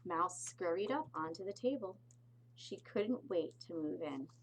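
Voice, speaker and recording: A woman teacher reads a picture book aloud for young children, expressively and deliberately, adding little explanatory asides. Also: fairly low pitch, lively intonation, a little hiss or hum, low-quality recording. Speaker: pre-K teacher reading aloud.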